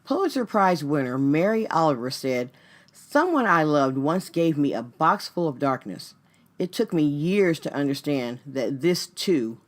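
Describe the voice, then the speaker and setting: Woman giving an earnest motivational radio talk. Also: low voice. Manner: earnest, direct address to the listener with rhetorical questions. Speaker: radio show host and life coach. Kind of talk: scripted motivational talk with conversational asides.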